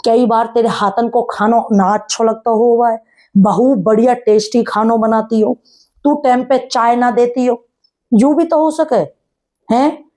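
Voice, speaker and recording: A woman's voice: fairly high pitch, steady tone, measured pace, darkish tone. A woman vlogger with a rural Haryanvi-Braj Hindi accent, chatting casually in a teasing, sarcastic way, addressing people directly with rhetorical questions. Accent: rural North Indian Haryanvi-Braj Hindi. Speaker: gossip vlogger. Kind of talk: casual chatty commentary on a family controversy.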